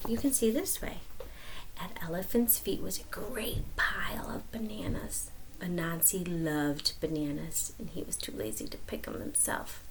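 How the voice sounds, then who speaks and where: A woman's voice: lowish voice, fairly even intonation, unhurried, slight background noise, poor audio. A woman reading a storybook aloud to children, explaining as she goes and repeating words for emphasis. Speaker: storybook reader. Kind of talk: expressive read-aloud to children.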